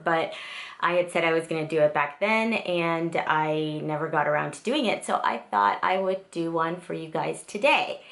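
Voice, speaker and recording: A woman in her thirties, born in South Korea and raised in the States, talks to her camera in a low, easy voice. She rambles through a get-to-know-me vlog, full of ums and restarts. She records in her husband's music studio at home. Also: gravelly, a bit bright. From South Korea, raised in the United States. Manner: rambling and chatty, many fillers and restarts. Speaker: vlogger. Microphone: camera in front of her.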